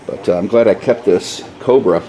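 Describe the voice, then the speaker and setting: A man in a casual, hesitant show-and-tell, thinking out loud like a DIY vlogger in an informal, rambling way. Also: lowish voice, moderate pace. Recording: clearly noisy, radio chatter at times.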